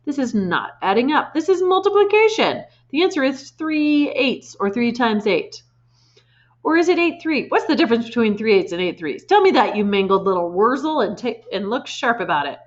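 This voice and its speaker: A storybook tyrant of a headmistress roars and snaps insults at a pupil, as a woman puts her on. The voice rides high and harsh. The sound is a little muffled. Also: fairly quick, hardly any pauses, natural voice. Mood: furious. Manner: shouting, snapping insults. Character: bullying furious female headmistress.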